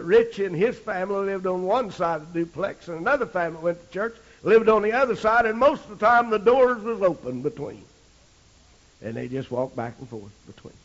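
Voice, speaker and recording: A middle-aged American man preaching a holiness sermon, moving between folksy storytelling and loud exhortation, punctuating his phrases with amen. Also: medium pitch, vocal fry. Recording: dull, lo-fi archival sermon recording.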